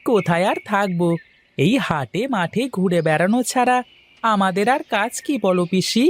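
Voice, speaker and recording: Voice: mid-range voice, normal speed, lightly acted. A voice actor playing an easygoing young village man in a cartoon, chatting good-naturedly. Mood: chatty. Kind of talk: cartoon voice acting.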